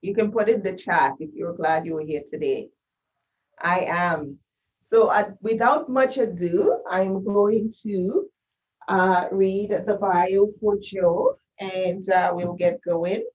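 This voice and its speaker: A woman hosting an online summit and introducing a speaker, relaxed, with many filler pauses. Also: mid-range voice, a little hiss or hum. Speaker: event host. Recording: dull audio from a distant mic.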